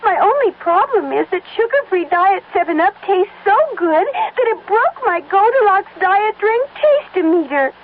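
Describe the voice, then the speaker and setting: A woman's voice: very high voice, animated, moderate pace, few pauses, a little hiss or hum. An actress playing a perky young female taste tester in an old radio commercial, bubbly and coy. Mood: chirpy. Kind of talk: 1970s radio commercial acting. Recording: tinny lo-fi old radio broadcast.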